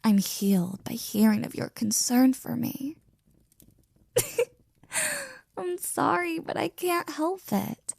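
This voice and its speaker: A woman playing a flirty, devoted maid in an intimate ASMR roleplay, breathy and often near a whisper, with a teasing, affectionate manner. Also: sits high, very animated, a little mellow, no room sound, heavily acted. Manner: teasing, affectionate, slow and drawn out.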